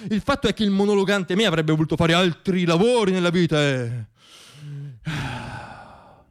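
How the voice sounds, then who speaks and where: High-pitched man performing a theatrical comic monologue. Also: normal speed. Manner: self-deprecating, wry, repeats words for effect. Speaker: monologue storyteller and stage performer.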